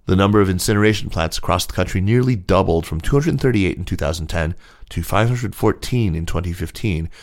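Man, low voice, podcast host, scripted news narration. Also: gravelly.

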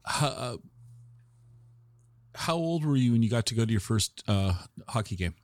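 A man's voice: medium pitch, expressive, unhurried, no room sound. A man with an American accent hosting a casual interview, rambling and interrupting himself as he goes. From Tacoma, Washington, United States. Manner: rambling, self-interrupting questions and anecdotes. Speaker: interview show host.

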